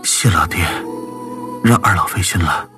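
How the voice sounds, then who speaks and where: A man's voice: mid-range voice, animated, moderate pace, over music, voice pushed up, natural voice. A man playing a weak, wounded young fugitive in an audiobook, polite, earnest and strained. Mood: weak, grateful. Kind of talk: audiobook character acting.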